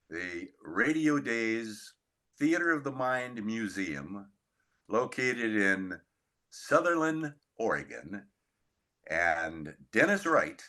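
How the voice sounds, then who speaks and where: A man hosting a podcast interview, with halting, deliberate phrasing. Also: lowish voice, sharp tone.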